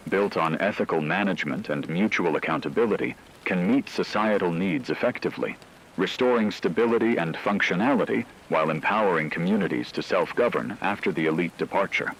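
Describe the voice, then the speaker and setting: A man acting as a grave radio news anchor with a deep voice. He reads scripted doomsday bulletins formally and evenly, with clear rain-like noise behind him. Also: lively intonation, fairly bright tone, rough recording. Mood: grave, measured. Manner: formal, measured reading.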